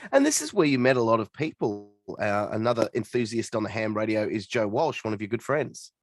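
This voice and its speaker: A man's voice: lowish voice, very animated, brisk. A man hosting a conversational interview, putting questions to a guest and adding brief acknowledgements. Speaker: interviewer, podcast host.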